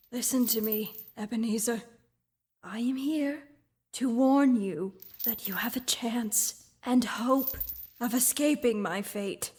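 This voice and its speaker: An actor voicing the mournful ghost of an old businessman bound in chains, in a student radio drama, speaking solemnly and dropping into breathy whispers. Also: extremely high pitch, slow, long pauses, a little mellow, heavily acted.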